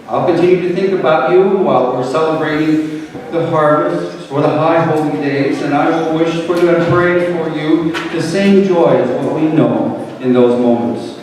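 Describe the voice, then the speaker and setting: A man's voice: on the high side, darkish tone, close to an everyday voice. A man playing a devout, forgiving father, earnest and preachy, in a dramatic reading of a parable. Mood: gently admonishing. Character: devout, grieving, forgiving father pleading with his wayward son. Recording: very echoey hall from far off, faint noise.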